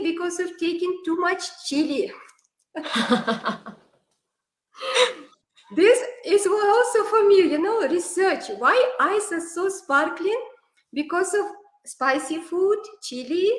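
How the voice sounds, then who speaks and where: A woman's voice: very high voice, expressive, slow, echoey room, low-quality recording. A woman from Kazakhstan with a Kazakh accent, a native Kazakh speaker, telling stories in non-native English with many fillers as an interview guest. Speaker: guest, classical dancer. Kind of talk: online interview.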